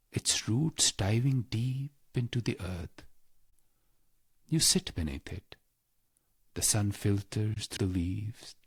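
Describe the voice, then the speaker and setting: A man leading a scripted spiritual guided meditation in a calm, measured, reverent manner with deliberate pauses. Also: lowish voice, husky, no echo.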